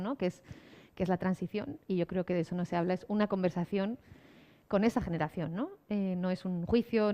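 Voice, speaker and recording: Lowish-voiced woman in a reverberant hall. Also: mellow. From Spain. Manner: digressive, self-interrupting, wry. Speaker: podcast creator and cultural studies lecturer, panelist. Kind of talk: informal spoken answer on a panel. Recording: reverberant hall, slightly dull sound.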